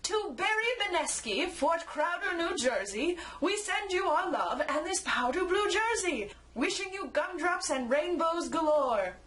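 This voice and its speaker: Little male Cupid acted by a woman: high, sweetly formal, earnest, gushing storybook rhyme. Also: very animated, measured pace, few pauses, fairly bright tone, full character voice.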